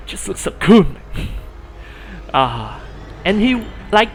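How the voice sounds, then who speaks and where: A man's voice: sits high, wildly swooping pitch, drawn-out words. A man with a youthful, boyish voice streaming casually, rambling with many fillers and restarts and laughing often. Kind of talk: casual live-stream commentary.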